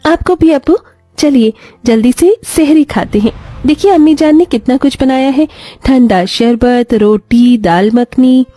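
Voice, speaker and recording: A gentle young bride from a moral story, put on by a woman, with a high and mellow voice. She speaks in a sweet, pleading, pampered way. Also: measured pace, no room sound, soft background music. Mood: affectionate. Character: gentle young woman, pampered daughter turned poor daughter-in-law.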